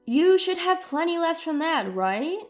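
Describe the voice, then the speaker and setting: A woman's voice: very high voice, very animated, unhurried, soft background music, pitched above the performer's own voice, exaggerated voice. A woman playing a scornful, newly independent ex-wife in a story, mocking and cutting. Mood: indignant. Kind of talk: dramatized storytelling dialogue.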